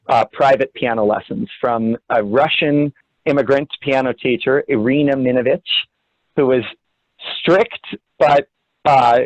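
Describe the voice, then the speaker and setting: A man's voice: mid-range voice, no room sound. A young man from the Cincinnati area, a guest in an interview, telling his story in a reflective, rambling way and correcting himself as he goes. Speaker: guest, orchestra assistant conductor. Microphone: video call. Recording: dull, dry video-call audio.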